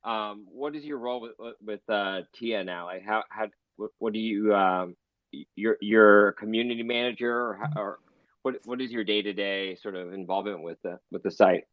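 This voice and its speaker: A man asking interview questions casually, haltingly rephrasing as he goes, over slightly dull online audio. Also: lowish voice, no room sound. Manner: halting questions with repeated restarts.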